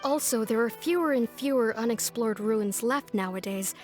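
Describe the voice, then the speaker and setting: Fairly high female voice, polite academic official acted by a woman, formal, measured, gently firm, game dialogue, faint music. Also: close to an everyday voice. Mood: polite, explanatory. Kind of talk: video game voice acting.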